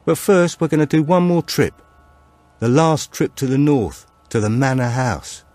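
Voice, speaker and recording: A man's voice: mid-range voice, animated, a little slow, darkish tone, no room sound, voice pushed up, close to an everyday voice. A man voicing an edgy small-time criminal, terse and pressing, in a thriller audiobook. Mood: pushy, edgy.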